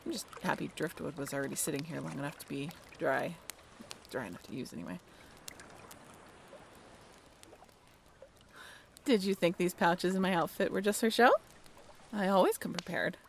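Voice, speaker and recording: Creaky-voiced young mermaid woman, played by an actress. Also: lowish voice, a little hiss or hum. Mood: practical, modest. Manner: casual, halting, softly self-deprecating. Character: friendly young half-mermaid woman, wry and bashful. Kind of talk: intimate audio roleplay, one-sided conversation.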